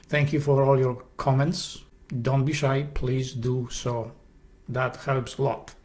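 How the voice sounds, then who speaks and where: A man with a Polish accent, Polish his first language, hosting an esoteric presentation in an earnest, halting way with frequent restarts. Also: mid-range voice. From Poland. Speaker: host.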